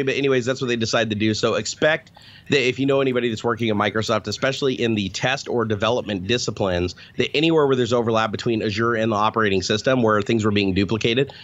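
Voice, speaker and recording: A man's voice: fairly low pitch, fairly bright tone. A man co-hosting a casual chat over a video call, talking in a profane, rambling way. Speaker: podcast co-host, former software tester. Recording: dull lo-fi call audio.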